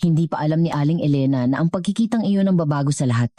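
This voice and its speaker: A woman with a low, mellow voice, reading a scripted dramatic story as narrator. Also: fast, no echo, studio quality.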